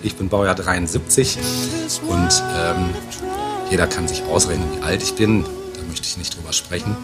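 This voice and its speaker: A man's voice: deep voice, fairly even intonation, moderate pace. A man in his forties hosting a casual podcast, rambling and hesitant with many fillers. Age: 40s. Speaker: podcast host. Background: faint music.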